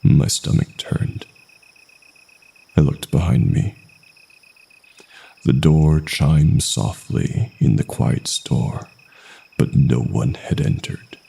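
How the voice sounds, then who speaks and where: A man reading a scripted first-person horror story as narrator, in a hushed manner with dramatic pauses. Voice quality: very deep, gravelly, breathy.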